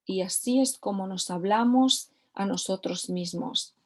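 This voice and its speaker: A woman's voice: medium pitch, measured pace. A woman teaching a recorded course lesson, often repeating key phrases for emphasis. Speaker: course instructor.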